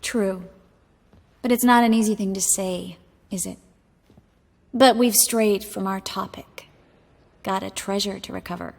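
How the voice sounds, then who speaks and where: An actress playing a world-weary young sorceress in a video game, speaking in a dry, measured, articulate way. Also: female voice, mid-range voice, fairly even intonation, creaky, big-room sound. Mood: wistful.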